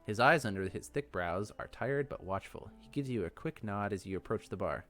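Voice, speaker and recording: A man with a fairly low voice doing casual let's play commentary and reading game text aloud. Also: animated, brisk, fairly bright tone, soft background music.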